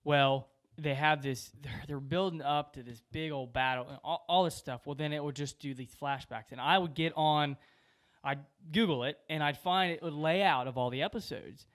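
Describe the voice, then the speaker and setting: Clear, higher-pitched man chatting casually. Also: normal speed, fairly bright tone. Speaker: podcast panelist. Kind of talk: casual chat, anecdotal.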